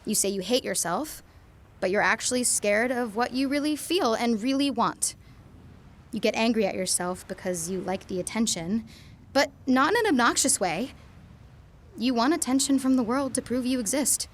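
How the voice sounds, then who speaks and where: A young woman playing a lovestruck, self-doubting high school girl in an audio drama, with an emotional, searching delivery. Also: expressive, normal speed, a little hiss or hum, close to an everyday voice. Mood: tender, intense.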